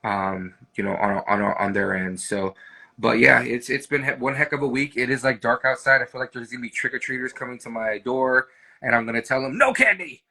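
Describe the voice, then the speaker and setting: A man's voice: medium pitch, fairly quick, vocal fry, sharp tone, breathy edge. A man with an American accent co-hosting a casual live stream chat, talking in a rambling, conversational way with frequent check-in tags. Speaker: real estate team leader, co-host.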